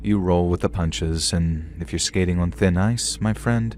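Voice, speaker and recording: Male narrator, low voice, calm and steady storytelling, faint background hiss. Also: unhurried. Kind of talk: scripted horror story narration.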